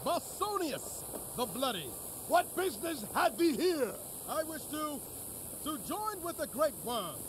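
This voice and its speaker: Eager, high-pitched nerdy LARP knight. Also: male voice, big pitch swings, unhurried, noisy background, full character voice. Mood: grandly formal. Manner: theatrical mock-archaic speech, switching to casual. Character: eager nerdy man role-playing a pompous medieval LARP knight. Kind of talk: video game character acting.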